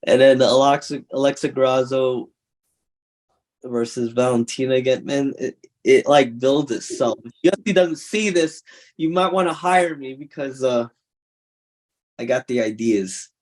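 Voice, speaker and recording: Young dude on a podcast, mid pitch, animated, laughs a lot. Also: male voice, unhurried. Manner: animated, laughing, does mock call-out voices. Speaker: podcast co-host. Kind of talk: casual chat.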